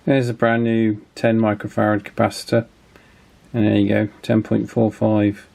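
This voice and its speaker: A man's voice: lowish voice, level tone, normal speed, creaky, warm tone. A man giving casual, explanatory repair commentary as a channel host, in an informal, matter-of-fact and somewhat hesitant way.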